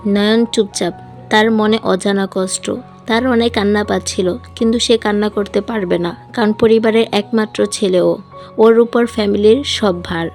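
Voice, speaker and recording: A woman's voice: medium pitch, darkish tone. A woman reading a scripted romantic story as a voice-over storyteller. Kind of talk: scripted romantic story narration with acted dialogue. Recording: music behind the voice. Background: background music.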